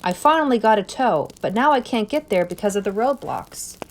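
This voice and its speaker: A woman voicing a worried man in a story reading, with a rushed, urgent, insistent delivery. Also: medium pitch, very animated.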